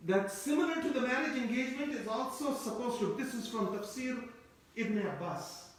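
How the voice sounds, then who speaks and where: A man's voice: very high-pitched, expressive, normal speed. A man who grew up speaking Turkish gives a Quran commentary lecture, wandering and repeating himself as he explains. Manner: discursive, repeats and restarts phrases. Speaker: Quran teacher. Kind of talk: tafseer lecture. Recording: echoey room, mic at a distance.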